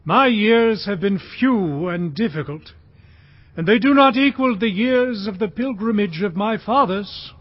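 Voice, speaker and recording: An actor playing an aged, weary Hebrew patriarch near death in a solemn, halting audio Bible drama. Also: male voice, high voice, lively intonation, dry recording. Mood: weary, reflective. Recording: dull lo-fi audio.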